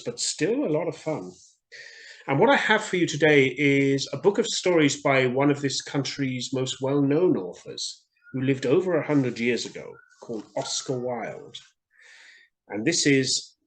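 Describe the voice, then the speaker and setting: Animated male children's storyteller. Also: medium pitch. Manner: expressive, addressing children directly. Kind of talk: children's story reading, scripted narration.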